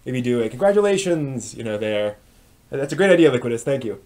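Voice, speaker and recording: A man hosting a live stream, chatting casually and haltingly as he thinks aloud. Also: medium pitch, lively intonation, a little mellow.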